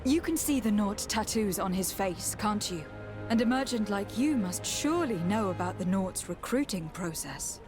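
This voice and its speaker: A woman's voice: medium pitch, animated, normal speed, natural voice. An actress playing a composed, diplomatic noblewoman envoy in a video game, formal and polite. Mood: calm, probing. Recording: dry voice, faint game music. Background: faint music.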